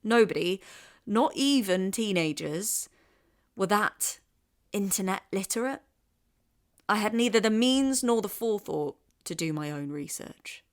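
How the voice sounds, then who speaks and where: An actress playing a curious young woman podcaster from the English Midlands, narrating in a measured, wry way and asking questions. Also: medium pitch, animated, lightly acted. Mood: calm. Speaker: investigative podcast host. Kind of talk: scripted podcast narration and street interviews.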